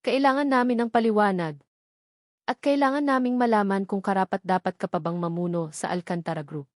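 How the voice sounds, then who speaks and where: A stern elderly uncle , read by a woman storyteller questions formally, like a senior board member. The voice stays at average female pitch. The story audio is very dry. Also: brisk, no room sound, natural voice.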